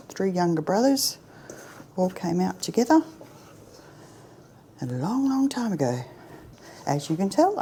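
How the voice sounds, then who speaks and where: Low, mellow-voiced woman chatting while baking. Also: a little hiss or hum. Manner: chatty and self-deprecating, often trailing off into a soft near-whisper. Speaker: home cook presenting a baking tutorial. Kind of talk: casual cooking demonstration. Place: home kitchen.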